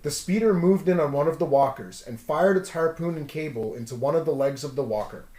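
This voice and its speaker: Male storybook reader, lightly high-pitched, dramatic delivery, noticeably echoey room. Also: a little fast, dark tone. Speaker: storybook read-aloud host. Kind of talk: scripted children's story narration. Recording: roomy echo.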